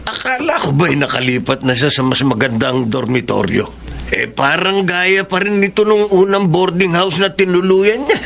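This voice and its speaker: An actor playing a humble, steady middle-aged father in a radio drama, earnest and slightly hesitant. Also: sits high, normal speed, vocal fry, slight background noise, full character voice. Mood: steady, concerned. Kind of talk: Tagalog radio drama acting.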